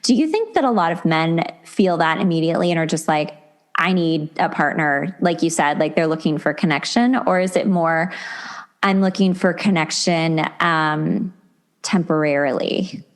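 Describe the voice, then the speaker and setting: A woman's voice: deep voice, normal speed. A woman hosting a casual conversational interview in an informal, empathetic way. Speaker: podcast host.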